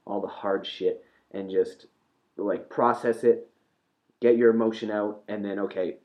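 A man's voice: on the low side, steady tone, unhurried. A man co-hosting a casual podcast chat, rambling and swearing freely, often trailing off mid-sentence. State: losing his voice. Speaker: podcast co-host. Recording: dull lo-fi sound.

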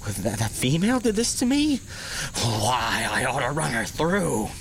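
A man playing a bumbling, blustering demon henchman in a fantasy audio drama, halting and sarcastic with breathy exclamations. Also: mid-range voice, big pitch swings, a little hiss or hum. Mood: groggy, indignant.

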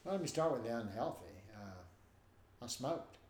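An older man with a nasal voice, telling stories in an animated way as a guest in a conversation. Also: mid-range voice, measured pace, a little hiss or hum. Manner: animated, acts out quoted voices. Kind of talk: storytelling in a conversation. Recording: roomy with faint ambience.

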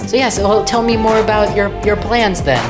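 A woman's voice: medium pitch, fairly even intonation, brisk, loud music behind the voice, natural voice. A woman playing a nervous, apologetic podcast host who talks hesitantly and carefully, in improvised comedy.

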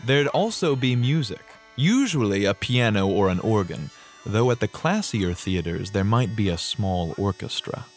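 A man with a fairly low voice reads narrative history as an actor would, dramatically and expressively. His delivery is measured storytelling, with faint music behind him. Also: expressive. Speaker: actor reading from a history book. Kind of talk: dramatic audiobook-style reading.

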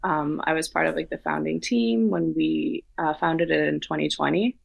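A woman, from Denmark, co-founder of a consultancy, answering interview questions in a relaxed way full of "like" fillers. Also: deep voice, normal speed, creaky, no room sound.

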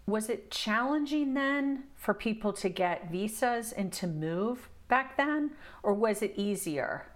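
A woman's voice: on the high side, expressive, slow pace. A woman hosting a podcast, introducing her guest and asking questions in a bubbly, familiar way. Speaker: podcast host. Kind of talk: casual interview hosting.

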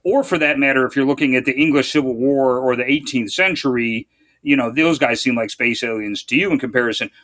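A man hosting a casual history podcast, thinking aloud in halting phrases and reading quotations from his source. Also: medium pitch, fairly bright tone. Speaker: podcast host.

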